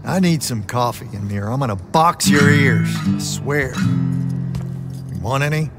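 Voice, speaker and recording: Cranky older hermit, mid-pitched, slow, folksy drawl, video game cutscene, very loud music. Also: male voice, lively intonation. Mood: grumbling.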